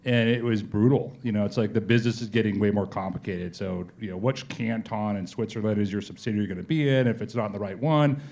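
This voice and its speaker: A man being interviewed as a company executive, telling stories conversationally and acting out exchanges in informal, animated speech. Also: lowish voice, fast talker, gravelly, hardly any pauses. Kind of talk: conversational on-stage storytelling.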